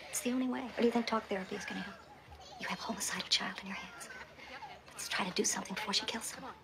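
An actress playing a forceful woman therapist in a film drama, insistent and badgering, with short repeated commands. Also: mid-range voice, fairly even intonation, rapid-fire, a crowd in the background, close to an everyday voice. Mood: earnest, defensive. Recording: clear background noise.

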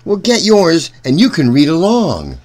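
A man reading a storybook aloud for children in an animated, deliberate way, speaking directly to young listeners. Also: on the high side.

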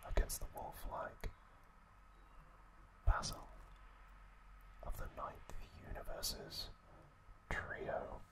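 Male ASMR host. Whispered throughout, very slow and gentle, speaking straight to the listener. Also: rough recording. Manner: gentle, unhurried, softly spoken direct address to listeners.

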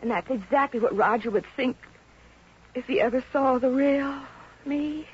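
A woman acts a fretful, bookish young spinster in an old radio comedy. Her voice is high, earnest and melodramatically lovelorn. The vintage recording is muffled with a faint hiss. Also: normal speed, lightly acted. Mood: sheepish, woeful. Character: plain, lovelorn, bookish small-town spinster of twenty-three, woebegone. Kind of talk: 1950s American radio comedy acting. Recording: dull, hissy 1950s radio recording.

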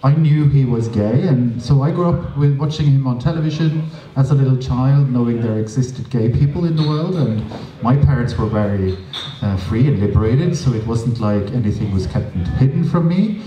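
A man's voice: medium pitch, lively intonation, normal speed, dark tone, highs cut off, a little hiss or hum. A Norwegian man telling stories as an interview guest on a small stage, chatty and drily funny, with many fillers, into a handheld mic. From Norway. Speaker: interview guest, drag performer. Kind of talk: casual storytelling interview. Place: small stage with audience, indoors. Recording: roomy, from a distance.

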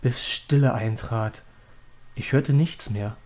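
A man's voice: medium pitch, slow pace, heavy background noise, lightly acted. A man playing a haunted, desperate young monster trainer, telling his story in a horror audio drama. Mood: haunted dread. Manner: dramatic, anguished. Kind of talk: first-person horror audio drama narration.

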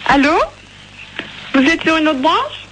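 Female emergency line operator, high and sharp-toned, in phone conversation over light noise. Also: lively intonation, a little slow. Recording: noisy telephone call recording.

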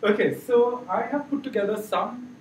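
A middle-aged man leading an interactive training session without a microphone, loud and encouraging, asking the room questions. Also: very high-pitched, normal speed, slight background noise. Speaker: workshop trainer. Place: large meeting room with projector. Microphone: far camera, no mic. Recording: echoey room from far off.

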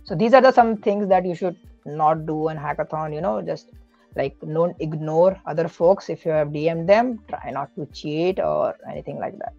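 A man's voice: a little high, normal speed, creaky, darkish tone, dull audio, soft background music. A man speaking as a podcast guest, giving earnest, explanatory advice in a casual way, often correcting himself mid-sentence.

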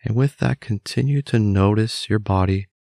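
A man calmly leading a scripted guided meditation in a measured, gently instructive manner. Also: on the low side, gravelly, no room sound, clean studio sound.

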